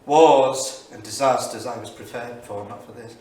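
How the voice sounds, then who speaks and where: Actor as a grieving diarist in an echoey theatre. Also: male voice, medium pitch, steady tone, normal speed, warm tone, lightly acted. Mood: bleak. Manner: literary, solemn, emphatic. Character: grieving, bitter male academic keeping a 1938 journal. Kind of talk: staged dramatic reading of diary entries. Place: small studio theatre, staged reading. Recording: echoey theatre, distant mic, faint noise.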